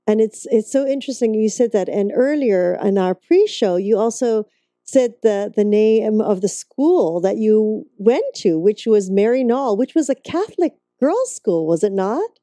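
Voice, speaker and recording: A woman hosts a wellness interview program. Her voice is deeply mellow and warm-toned, and the sound is dry, with no room echo. She is gracious and laughs readily with her guest. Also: lively intonation, a little slow. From Hong Kong. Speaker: host. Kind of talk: talk show interview.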